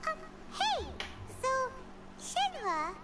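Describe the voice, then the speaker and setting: A woman voicing a tiny, chatty, childlike fairy companion in a video game, squeaky and excitable. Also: extremely slow, very noisy, heavily acted. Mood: surprised. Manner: refers to self in third person, exclaiming. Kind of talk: video game character dialogue. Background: loud game music.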